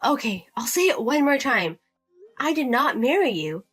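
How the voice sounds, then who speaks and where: A woman's voice: high voice, very animated, normal speed, fairly bright tone, husky, soft background music, pitched above the performer's own voice, full character voice. A woman voicing a fed-up young wife who answers curtly and repeats herself firmly. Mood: defiant.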